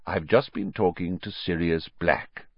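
A man playing a calm old wizard headmaster in an audiobook, quiet, measured and authoritative. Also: low-pitched, husky, no echo, lo-fi sound.

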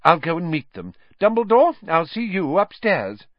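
A man's voice: fairly high pitch, big pitch swings, normal speed, dry recording, lo-fi sound, voice pushed up. A man voicing a flustered, pompous male official in an audiobook, fussy and placating.

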